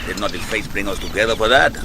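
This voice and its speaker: An actor playing a hearty, theatrical South American horse tamer and revolutionary in a radio drama, grand in manner. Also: male voice, lowish voice, quick pace, creaky. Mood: grandly friendly. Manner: grand, warm declamation. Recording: noisy outdoor ambience behind dialogue.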